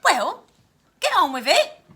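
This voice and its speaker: A haughty little upper-class English girl from a storybook, as a woman reader puts her on. Her voice is high and sharp, with big swoops in pitch. She sounds prim, defiant and easily affronted. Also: big pitch swings, slow, heavily acted. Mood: indignant. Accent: posh upper-class English. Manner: prim, indignant. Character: posh, haughty young schoolgirl, indignant and defiant. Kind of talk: children's storybook read aloud.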